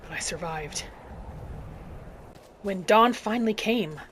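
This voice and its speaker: A woman hosting a gaming channel, narrating her roleplay in character in a measured, earnest storytelling way. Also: medium pitch, a bit bright, a little hiss or hum. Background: background music.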